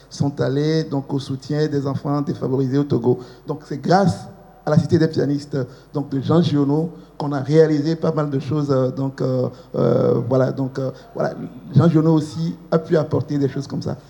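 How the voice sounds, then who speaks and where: A man speaking formally as a parent and head of an association, halting as he addresses the children. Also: mid-range voice, flat delivery, gravelly, dark tone.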